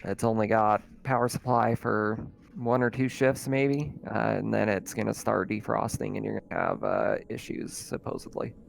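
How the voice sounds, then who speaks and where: Man playing a creaky, resigned cargo ship captain. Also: lowish voice, expressive, normal speed. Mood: weary, matter-of-fact.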